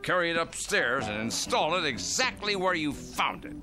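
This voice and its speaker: A man's voice: a little high, sing-song, normal speed, music in the background. An actor playing a stubborn older man in a vintage radio drama, commanding and indignant. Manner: indignant, commanding, then overjoyed shouting. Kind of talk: 1950s radio drama acting.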